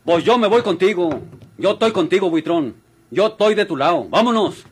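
An actor playing a slangy, rough Mexican outlaw henchman in an old radio drama. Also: high-pitched, expressive, normal speed. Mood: loyal, urgent. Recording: faintly noisy vintage radio recording.

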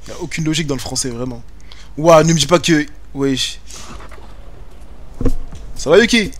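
A man's voice: on the high side, normal speed, a little hiss or hum. Slangy young man streaming a game. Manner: slangy, joking banter with chat. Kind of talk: casual gaming commentary. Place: home room, webcam.

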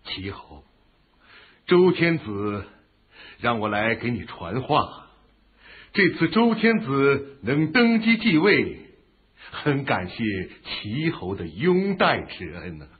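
An actor playing a courteous, ceremonious royal envoy in an ancient Chinese radio drama, with theatrical, drawn-out delivery. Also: male voice, medium pitch, sing-song, slightly rough, heavily acted. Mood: grateful, effusive. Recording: dull, lo-fi radio drama recording.

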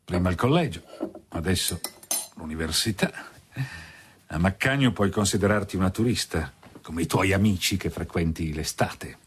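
A man's voice: deep voice, normal speed, slight background noise, natural voice. An actor playing a stern, possessive middle-aged lawyer father in an Italian radio drama, curt and authoritarian. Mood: cool, probing.

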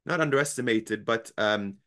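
A young man in his twenties co-hosting a casual football chat from home on a headset, talking in a measured, hedging way. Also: lowish voice, sharp tone. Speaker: football podcast co-host. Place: home room with football shirts. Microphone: headset on video call.

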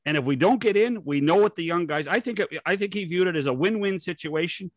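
Male co-host, sixties, fairly high voice, close dry sound, insistent arguer who cuts in. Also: fast talker, no room sound. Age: 60s. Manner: exasperated, interrupting, insistent. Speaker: podcast co-host. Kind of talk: sports debate. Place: indoor study, video call. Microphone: desk microphone close to mouth.

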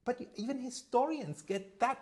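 A man's voice: very high-pitched, moderate pace, a little mellow. A man in his thirties talking conversationally as the host of an interview. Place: indoor room, video call.

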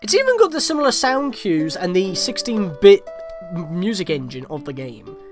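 A man's voice: high-pitched, sing-song, moderate pace, music in the background. A young man hosting a let's play with casual, wry, conversational game commentary.

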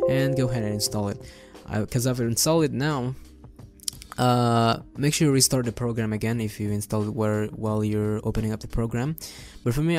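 A young man walks through software in a casual, upbeat tutorial manner. He speaks close to a desk microphone at home, with faint music playing behind him. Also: medium pitch. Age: young adult. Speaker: tutorial host. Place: home bedroom setup indoors. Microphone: close desk microphone on boom arm.

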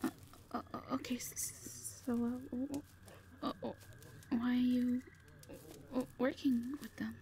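A woman playing a shy, frightened young owl captive in an audio drama, with a heavy halting stammer. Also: a little high, flat delivery, mellow, slight background noise. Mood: nervous, timid. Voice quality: heavy stammer, breathy gasps. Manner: timid, halting, pleading. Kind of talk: amateur audio roleplay drama.

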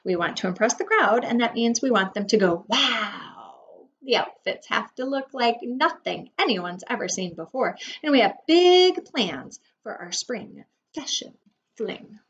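A woman's voice: on the high side, animated, measured pace, a bit bright. A middle-aged woman preschool teacher reading a picture book aloud expressively to young children, with dramatic emphasis and simple explanations. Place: indoor room at home. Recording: dull webcam audio with faint noise.

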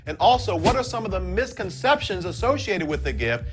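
Energetic male video teacher with a high, swooping voice; studio sound with faint music. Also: a little fast. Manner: animated, expressive delivery. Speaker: YouTube Bible teacher.

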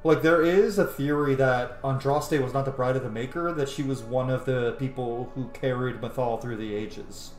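A man's voice: mid-range voice, fairly even intonation, moderate pace, few pauses. Man casually commentating, slightly roomy sound. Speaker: gamer narrating a playthrough. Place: indoor room at home. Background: game music faintly behind.

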